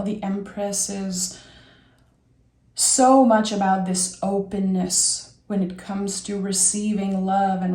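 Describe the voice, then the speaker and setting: Slow-talking raspy woman tarot reader. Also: medium pitch, roomy sound. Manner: gentle, reflective, thinking aloud. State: raspy from being sick. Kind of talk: soft, slow tarot reading.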